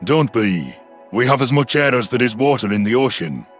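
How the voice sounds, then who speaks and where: A male narrator puts on a gruff submarine commander for a sci-fi audio drama. The voice is throaty and mid-pitched, with a hearty, confident command. Music plays behind it. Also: lively intonation, moderate pace, lightly acted. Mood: amused, hearty. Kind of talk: audio drama character dialogue.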